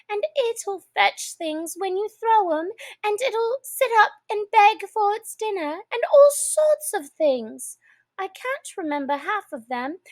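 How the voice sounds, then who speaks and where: A polite, chatty little girl from a storybook, voiced by a woman. The voice sits very high with a lilting, animated melody. She is eager and apologetic, rambling on in an unhurried way. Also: exaggerated voice. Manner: apologetic, soothing, dreamy rambling. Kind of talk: storybook character voice.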